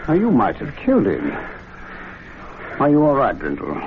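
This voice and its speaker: An actor playing a measured, wry gentleman club member in a radio drama, dry and articulate. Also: mid-range voice, huge pitch swings. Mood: composed, concerned. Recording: dull, noisy vintage radio recording.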